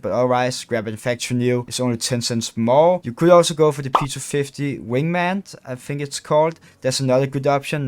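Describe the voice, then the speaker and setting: A young man with a Danish accent, a gaming video creator giving casual, chatty voice-over commentary with many fillers. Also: mid-range voice. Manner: relaxed and chatty, many fillers, laughs at own pronunciation.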